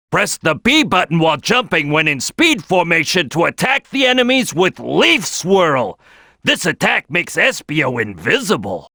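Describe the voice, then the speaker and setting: Big cocky croc game character, bright punchy voice, swoops all over, loud and boastful. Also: male voice, high voice, gravelly, no room sound, studio quality, full character voice. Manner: loud, swaggering, boastful, drawn-out delivery. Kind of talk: exaggerated cartoon game voice lines.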